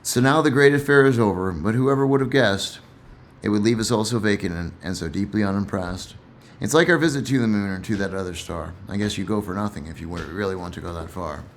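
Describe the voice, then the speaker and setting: A man's voice: on the low side, brisk, a little hiss or hum. A man who reads and comments on poetry in a casual, reflective way, somewhat hesitant and repeating himself.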